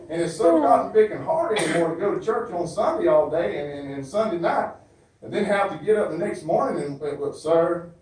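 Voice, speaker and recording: A man's voice: on the high side, darkish tone. A man with a Southern US accent performing a folksy comedy monologue as a flustered churchgoer on the phone, stammering and repeating himself. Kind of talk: comedy monologue, one side of a phone call. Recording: very echoey hall, distant microphone.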